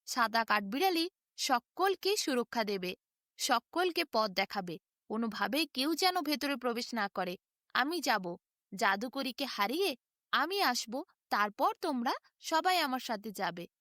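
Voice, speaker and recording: Woman acting a young storybook girl, high pitch, earnest, confident, brave and kind, dry sound. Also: sing-song, unhurried, bright, forceful tone, dry recording, exaggerated voice. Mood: determined. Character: kind, brave young village girl who knows magic. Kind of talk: storybook character voice.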